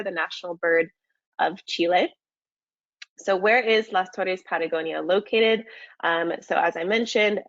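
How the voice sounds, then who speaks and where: A woman's voice: on the low side, no room sound. Friendly american lady on a video call, lo-fi dull audio, lots of ums. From United States. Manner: friendly, many ums and uhs. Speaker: market manager presenting a webinar. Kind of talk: webinar presentation.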